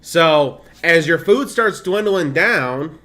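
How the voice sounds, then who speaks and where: Male streamer, 30s, blended Midwestern/Southern/Californian accent, slightly high pitch, chatty and laughing. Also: animated, takes it slowly, pushed and bright. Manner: chatty, laughs often, reads game text aloud. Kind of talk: casual gameplay stream commentary.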